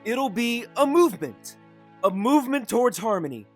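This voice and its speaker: A woman playing a pompous, grandly enthusiastic ruler in an animated comic dub. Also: a little high, normal speed, faint music behind. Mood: delighted.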